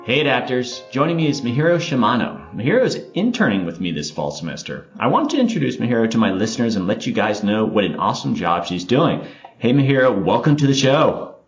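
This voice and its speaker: A man's voice: medium pitch, sing-song, quick pace, dull audio, soft background music. A man hosting a podcast interview, upbeat and chatty, often saying "all right" between questions. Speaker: podcast host. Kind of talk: casual interview chat.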